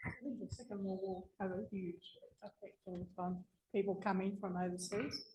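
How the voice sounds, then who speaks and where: A woman's voice: medium pitch, steady tone, moderate pace, background noise. A woman from the Riverina in Australia, speaking up from the audience with hesitant, filler-heavy speech. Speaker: audience member. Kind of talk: question from audience.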